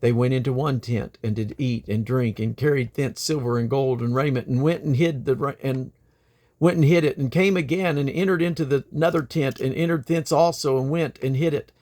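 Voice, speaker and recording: A man reading the Bible aloud in a creaky voice, delivered deliberately, phrase by phrase, with pauses. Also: mid-range voice, a little mellow. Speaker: Bible reader. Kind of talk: scripture reading aloud from the King James Bible.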